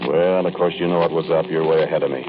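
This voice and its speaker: An actor playing a world-weary bank robber telling his story in a halting, colloquial, conversational way, in 1940s radio drama style. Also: very deep voice, steady tone. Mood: brooding, confiding. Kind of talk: 1940s radio drama first-person narration. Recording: muffled, noisy vintage radio recording.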